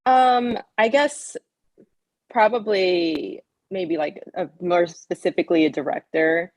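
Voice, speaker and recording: A woman's voice: lowish voice, slow, darkish tone, no room sound. Hesitant woman asking a question. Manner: hesitant, heavy use of "like". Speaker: audience member asking a question. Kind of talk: casual question.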